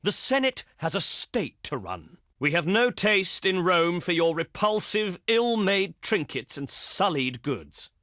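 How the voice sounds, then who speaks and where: Haughty, theatrical Roman diplomat, widely swooping pitch. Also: male voice, sing-song, a little slow, few pauses, pushed and bright, no echo, clean studio sound, full character voice. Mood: disdainful. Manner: pompous, sneering, oratorical, archaic formal phrasing. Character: haughty, contemptuous ancient Roman diplomat, adult man. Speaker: diplomat voice in a strategy game. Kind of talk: theatrical scripted game voice acting.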